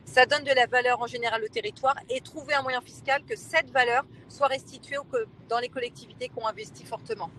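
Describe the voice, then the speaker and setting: High-voiced woman arguing her point. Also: fairly even intonation, fast talker, hardly any pauses, pushed and bright, slight background noise. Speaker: local elected official, panelist. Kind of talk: panel discussion, explanatory argument.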